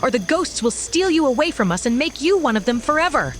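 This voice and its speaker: A woman's voice: high voice, very animated, fairly quick, faint music behind, voice pushed up. A woman voicing a frightened, protective mother pleading emphatically with her child in a story.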